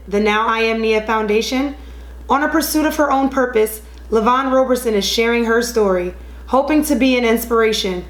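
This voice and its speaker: Higher-voiced woman anchor in an echoey room. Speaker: news anchor. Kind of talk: scripted anchor intro.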